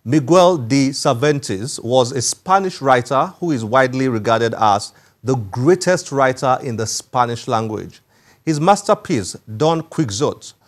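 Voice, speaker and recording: Male presenter, mid-pitched, formal and measured, scripted book show narration.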